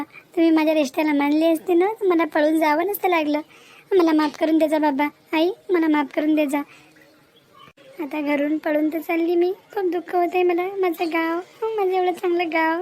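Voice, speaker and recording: Woman playing a very high-voiced young Marathi village girlfriend. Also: darkish tone. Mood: sad, regretful. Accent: Vidarbha Marathi. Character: dreamy young village woman eloping with her sweetheart. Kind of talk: amateur cartoon dialogue.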